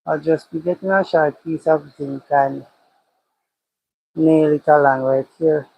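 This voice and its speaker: A man's voice: on the high side, steady tone, a little slow, vocal fry, no echo, narrow, tinny audio. A man with a Jamaican accent, a cattle farmer livestreaming from his pasture, thinking aloud and repeating his phrases as he talks. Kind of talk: casual livestream chat, reading comments. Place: outdoors by a cow pasture.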